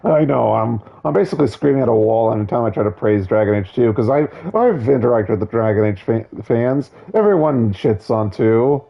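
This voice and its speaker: A hoarse man losing his voice, giving casual, opinionated, digressive commentary on a video game. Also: medium pitch, brisk, hardly any pauses, muffled recording. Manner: opinionated, digressive, many restarts.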